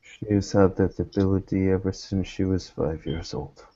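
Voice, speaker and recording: A man playing a cryptic, courteous private investigator in a tabletop game, speaking in a measured, formal way. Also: low voice, fairly even intonation, mellow, muffled recording. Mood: guarded, courteous. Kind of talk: tabletop roleplay in character.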